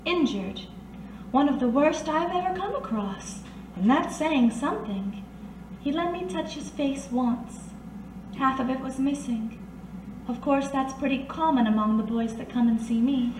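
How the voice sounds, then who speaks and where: A high-pitched, knowing woman of the 1930s underworld, played by an actress, coaxes and soothes with unflappable poise. It is radio detective drama, heard in a roomy space a little away from the mic. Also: lively intonation, measured pace. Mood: knowing, calm. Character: blind, worldly, soothing 1930s working woman who knows underworld secrets. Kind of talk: old-time radio detective drama acting. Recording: roomy, distant amateur recording, faint noise.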